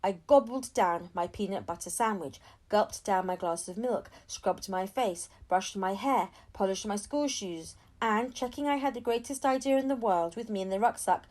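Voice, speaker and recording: A woman reading a children's novel aloud as an expressive storyteller. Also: medium pitch, normal speed, few pauses. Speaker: audiobook reader.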